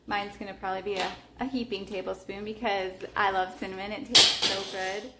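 A woman casually talking through her meal prep in a home kitchen, relaxed and chatty with lots of ums. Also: mid-range voice, brisk, heavy background noise. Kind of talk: casual cooking vlog narration. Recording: echoey kitchen with clattering dishes. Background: clinking cutlery, dishes and pans.

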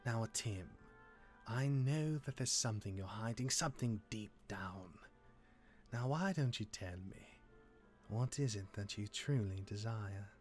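A man playing a gruff, jaded demigod older brother in a Minecraft roleplay, reluctantly caring, with a halting, exasperated delivery. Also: lowish voice, darkish tone, soft background music, natural voice. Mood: coaxing.

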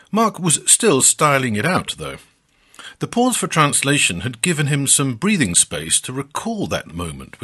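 Expressive male storyteller with slightly husky voice. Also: lowish voice. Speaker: storyteller and podcast narrator. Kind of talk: scripted storytelling narration.

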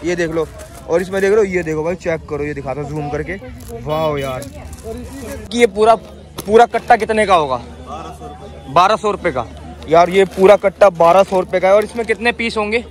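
Young male vlogger, high voice, chatty market walkthrough on a phone mic, street outdoors. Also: moderate pace, pauses often. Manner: chatty, repeats prices to camera. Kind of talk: handheld market walkthrough vlog. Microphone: phone held at arm's length.

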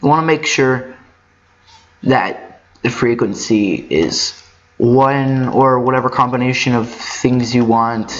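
A man giving a casual, unscripted video game walkthrough, halting and rambling as he thinks aloud. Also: medium pitch, slight background noise. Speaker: gaming tutorial host. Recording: muffled lo-fi mic in echoey room.

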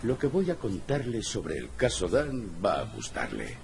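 An actor playing a blunt, world-weary cat private detective in a video game, with a gravelly voice. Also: male voice, on the low side, wildly swooping pitch, measured pace, a little mellow, a little hiss or hum, heavily acted. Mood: businesslike. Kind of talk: video game voice acting, noir.

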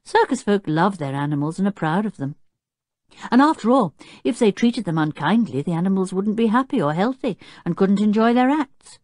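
A woman voicing a sensible eldest boy who explains things calmly. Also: medium pitch, normal speed, no room sound, clean studio sound, voice pushed up, natural voice. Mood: explaining. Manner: reasonable, explaining.

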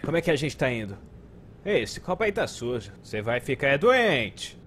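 A man's voice: medium pitch, very animated, normal speed, fairly bright tone, a little hiss or hum. A man with a Brazilian accent voicing an easygoing, concerned police partner in a cartoon. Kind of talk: cartoon dub.

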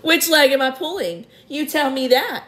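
A woman's voice: on the high side, big pitch swings, a little slow, voice pushed up. A woman voicing a boastful, cheeky male centipede in a storybook reading. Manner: loud, gleeful and boastful.

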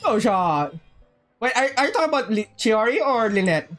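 Animated, high-voiced woman livestreamer. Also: moderate pace. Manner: excitable, jokey, repeats phrases. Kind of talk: casual game stream chat. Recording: game music behind.

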